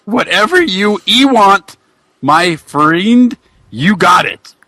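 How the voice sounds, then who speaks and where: Grateful, folksy old racing buddy, read by a man, slow and bright, stumbling through comic story dialogue. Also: sits high, animated, no room sound, heavily acted. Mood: earnest, hearty. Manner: halting, stumbling reading. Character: friendly, grateful former racer, folksy male buddy.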